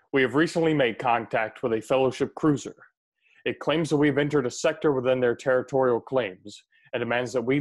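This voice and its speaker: A man's voice: mid-range voice, natural voice. A man in his twenties playing an authoritative starship captain making formal announcements in a science-fiction radio play. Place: bedroom on video call. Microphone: earbud headset.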